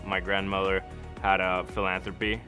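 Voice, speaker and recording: Deep-voiced man from Costa Rica over music. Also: monotone, moderate pace. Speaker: architect. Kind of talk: interview testimonial. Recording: music behind the voice. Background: background music.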